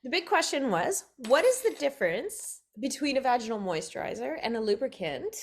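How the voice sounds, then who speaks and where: A woman's voice: high voice, sing-song, a little fast, slight background noise. A middle-aged woman, hosting a casual interview chat. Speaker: host.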